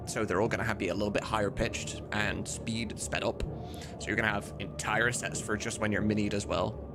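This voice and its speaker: A man hosting a casual livestream as a mod developer, rambling, blunt and sweary as he thinks aloud. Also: fairly low pitch. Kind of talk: casual livestream chat while demonstrating.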